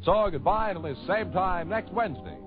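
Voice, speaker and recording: A male American announcer in 1940s radio style. He reads quickly in a high voice. Music plays clearly behind him on a thin, tinny old broadcast. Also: animated. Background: loud show music.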